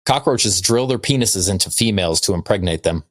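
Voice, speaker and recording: A man with a fairly low voice chats casually as a podcast co-host. He delivers trivia and jokes dryly, in a loose comedic style. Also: animated, a little fast.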